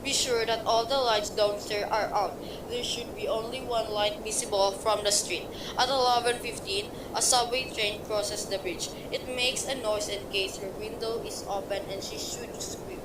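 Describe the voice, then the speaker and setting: A woman's voice: fairly high pitch, normal speed, few pauses, natural voice. A cold, clipped male criminal, voiced by a woman, gives orders in a radio play. The voice sounds far from the mic in a roomy space. Hiss fills the background. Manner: curt, flat, commanding.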